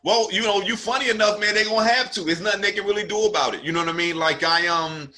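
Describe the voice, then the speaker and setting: Jersey-raised comedian guy hosting a chat, easygoing, lots of "right right", bright tone. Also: sits high, fast talker. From South Jersey. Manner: laid-back, frequent "right, right" agreement. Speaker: comedian and host. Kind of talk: casual conversation.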